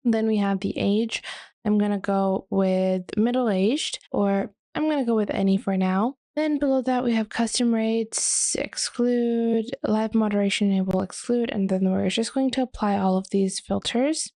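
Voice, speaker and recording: A woman narrates a step-by-step software tutorial in a relaxed, mellow voice. Her speech often settles into a gravelly creak. The sound is very dry, with hardly any room around it. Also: mid-range voice, a little slow, dry recording. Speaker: tutorial presenter.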